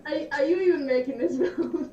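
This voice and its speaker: A woman's voice: sits high, moderate pace, warm tone, dull audio, soft background music. A woman chatting casually and teasing playfully during an online game. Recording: echoey, distant, noisy livestream mic.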